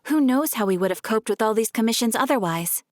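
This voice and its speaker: A woman's voice: on the high side, fairly quick, heavy background noise, natural voice. A woman voicing a polite, formal guild receptionist in a game cutscene. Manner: courteous, even and formal.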